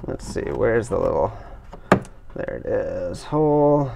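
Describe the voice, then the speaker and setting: A middle-aged man calmly explaining each step of a hands-on installation as he works. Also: on the low side, vocal fry, noisy background. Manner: careful, measured explaining while working with his hands. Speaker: instructor demonstrating kayak outfitting. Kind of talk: step-by-step how-to demonstration.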